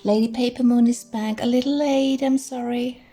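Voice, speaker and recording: A middle-aged woman, chatting gently through a crafting tutorial and thinking aloud as she works. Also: on the high side, fairly even intonation, moderate pace, soft background music. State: hoarse. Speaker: crafting channel host. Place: indoors at a craft table.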